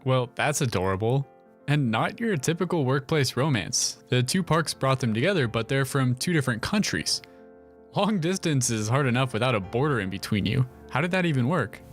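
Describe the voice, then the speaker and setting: A man's voice: mid-range voice, lively intonation, moderate pace. A man co-hosting with scripted, conversational commentary, guitar music playing behind him. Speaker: co-host.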